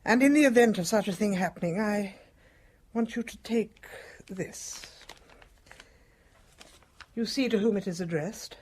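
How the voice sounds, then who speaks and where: An older woman playing a dying British matriarch in a radio drama, speaking in a measured, formal, guarded way. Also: mid-range voice, a little mellow, breathy edge. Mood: guarded, composed. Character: composed, dying elderly matriarch with secrets.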